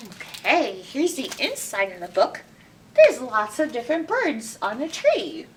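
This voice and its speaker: A woman reads a storybook to young children in a high voice that swoops around expressively. She takes it slowly and asks the listeners questions. She sounds a bit away from the mic, with some background noise. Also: wildly swooping pitch, far from the mic. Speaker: library storytime reader. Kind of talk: read-aloud picture book storytelling for children, with asides.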